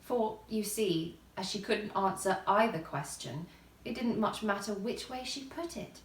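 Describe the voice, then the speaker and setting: A woman reading a children's story as expressive scripted narration, with a theatrical, deliberate storytelling manner. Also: medium pitch. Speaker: storybook reader. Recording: roomy echo, distant microphone.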